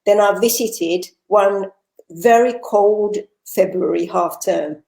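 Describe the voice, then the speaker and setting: An older woman with a faint Finnish lilt under her British English, talking freely as an interview guest. Her voice sits at an ordinary pitch and rings in a roomy, echoey space, as if over a video call. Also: slow pace, vocal fry, darkish tone. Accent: Finnish-tinged British English. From Finland. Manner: chatty, articulate, with repeated word restarts. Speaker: guest, author and retired teacher. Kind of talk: conversational interview.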